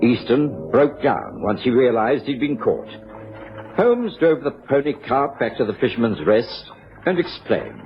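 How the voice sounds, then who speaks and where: Earnest male companion-narrator, gentlemanly radio drama storytelling, expressive intonation, dull old sound. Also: medium pitch, unhurried. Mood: narrating. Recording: dull radio recording, music underneath.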